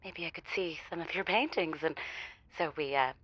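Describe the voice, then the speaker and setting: Giggly, low-voiced actress as a woman caller. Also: moderate pace, dry recording, muffled recording. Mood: giggly, confiding. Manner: hesitant, giggly, digressive. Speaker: caller to a radio show. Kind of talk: audio comedy drama, casual confiding chat.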